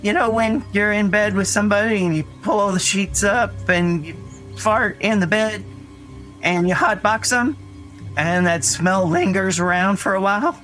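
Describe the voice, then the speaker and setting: An actor playing an earnest, anxious young boy who tells his story in a rambling, halting way in an audio drama. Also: high-pitched, a bit bright, low-quality recording. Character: Dougie, frightened earnest young boy recounting his brother's disappearance. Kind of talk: naturalistic audio drama acting. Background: music under the voice.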